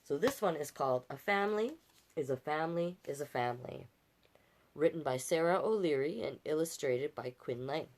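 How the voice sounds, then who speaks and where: Low-voiced woman, folksy storytime host. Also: expressive, moderate pace, darkish tone. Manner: folksy, chatty, encouraging. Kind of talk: reading picture books aloud with casual asides to viewers.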